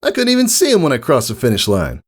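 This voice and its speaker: A man's voice: medium pitch, wildly swooping pitch, fast talker, husky, voice pushed up. A man voicing a brash, cocky female pegasus pony mentor in a storybook reading, with a casual, boastful way of talking. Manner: boastful, casual, hesitant small talk.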